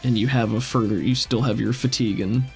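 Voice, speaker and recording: A man's voice: mid-range voice, steady tone, fast talker, soft background music. A man working as game master, narrating a tabletop game with vivid description and casual asides.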